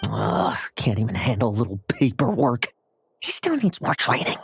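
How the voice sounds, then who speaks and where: A coaxing, sly young knight. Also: female voice, extremely low pitch, huge pitch swings, moderate pace, soft background music, full character voice. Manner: smooth, coaxing, playfully evasive. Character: sly young knight scheming to inherit a title. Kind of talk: video game cutscene dialogue.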